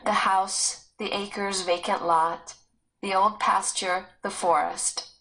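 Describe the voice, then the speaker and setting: Female narrator for scripted children's fiction, medium-pitched, storytelling delivery. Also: level tone, slow, pushed and bright, rough recording. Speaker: audiobook narrator.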